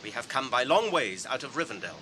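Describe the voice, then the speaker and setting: An English actor playing an earnest, courteous, guarded hobbit traveller in a radio drama, speaking in a measured, formal way. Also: male voice, mid-range voice, very animated, bright, forceful tone, slight background noise, low-quality recording. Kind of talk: BBC radio drama acting.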